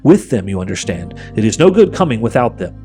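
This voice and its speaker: A man's voice: fairly low pitch, sing-song, fairly quick, dark tone, faint music behind. A man voicing a sly, coaxing witch queen for a storybook, speaking in a grand, persuasive, commanding way. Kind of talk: audiobook character voice.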